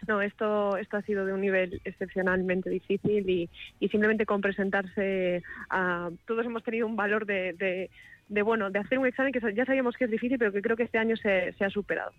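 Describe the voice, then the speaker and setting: A woman's voice: mid-range voice, fairly quick, no echo, dull audio. A woman in her thirties, a sommelier, answering, thoughtful and full of small restarts. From Galicia, Spain. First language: Galician. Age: 30s. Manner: thoughtful, restarts often, even delivery. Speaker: sommelier interviewee. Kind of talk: radio interview answers.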